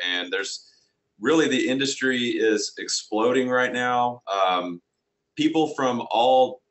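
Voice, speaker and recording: Slightly gravelly, bright low-voiced man. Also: poor audio. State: congested from allergies. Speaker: company president, webinar co-host. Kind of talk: conversational business pitch.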